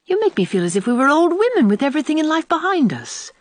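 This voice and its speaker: A lively young country woman from a storybook, voiced by a woman narrator. She is frank and teasing, with animated pitch and a close, dry sound. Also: fast talker, no room sound. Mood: chatty. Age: young adult. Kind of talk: audiobook character voice.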